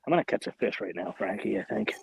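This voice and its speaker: A man in his late forties chats while fishing, laid-back and blunt. His voice is gravelly and husky and sits fairly low. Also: expressive, very fast, low-quality recording. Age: 40s. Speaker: angler. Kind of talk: casual chat.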